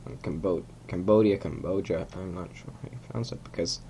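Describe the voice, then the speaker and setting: A young Hungarian man with a fairly low voice talks through a simulated rocket launch from his desk at home. He rambles, corrects himself mid-sentence and tosses in chuckling asides, with a faint hum behind him. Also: brisk, dark tone. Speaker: gamer narrating a rocket launch. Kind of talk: casual game commentary. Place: small room at home.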